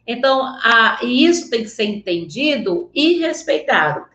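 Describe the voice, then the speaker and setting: Earnest Northeastern Brazilian woman teacher, slightly high voice, echoey room. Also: very animated, measured pace, fairly bright tone, dull audio. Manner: earnest, checks in with students often. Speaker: Portuguese teacher. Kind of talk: online class lecture with slides.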